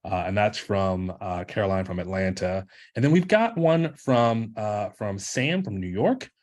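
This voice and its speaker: A man's voice: on the low side, expressive, unhurried, darkish tone. A man co-hosting a webinar on a video call, reading testimonials and giving announcements in a friendly, practical way. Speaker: webinar co-host.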